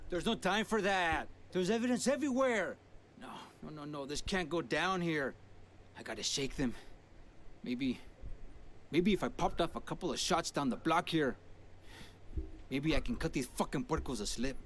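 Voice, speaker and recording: An actor playing a panicky, foul-mouthed street gangster with a Mexican accent in a video game, agitated and mixing Spanish slang into English. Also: male voice, on the high side, expressive, normal speed, a bit bright, a little hiss or hum. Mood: panicked, angry.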